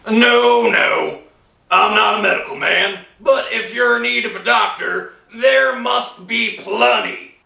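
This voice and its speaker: A man playing a brusque, dismissive telephone answerer in an old-time radio drama, with a creaky, sharp voice. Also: high-pitched, slow pace, recorded from a distance, full character voice. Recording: roomy echo, slightly off mic.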